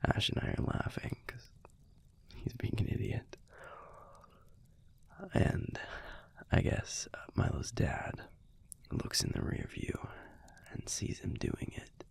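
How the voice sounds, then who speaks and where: A man playing a gruff but fond young werewolf boyfriend in an intimate audio roleplay, telling a story in a hushed, halting, husky and gravelly voice. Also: whispered, close to an everyday voice. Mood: wistful, amused. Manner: hushed, halting, conversational delivery with pauses.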